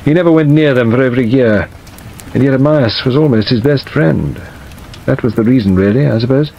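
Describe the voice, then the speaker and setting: A man voicing a weak, hesitant middle-aged gentleman in an audiobook, plaintive and wistful. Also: mid-range voice, creaky, voice pushed up, close to an everyday voice. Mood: fretful, reflective. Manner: hesitant, halting, self-qualifying. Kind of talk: dramatised audiobook reading. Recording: dull audio under steady rain noise.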